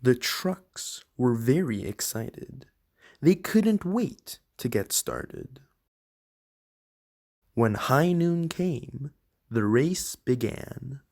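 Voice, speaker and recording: A reader voicing a friendly moose who gently reads storybooks aloud to young children in a deliberate way. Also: mid-range voice, creaky, heavily acted. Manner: slow, deliberate, addressing children directly. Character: Alex the Moose, friendly storybook-reading moose of the enchanted woods.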